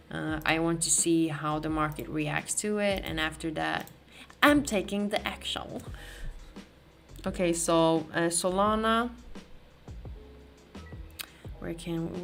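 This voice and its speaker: A woman's voice: sits low, creaky, fairly bright tone. A woman from Sweden with a Swedish accent, hosting a live crypto market stream, talking casually with many restarts and fillers as she reads the chat. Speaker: crypto livestream host.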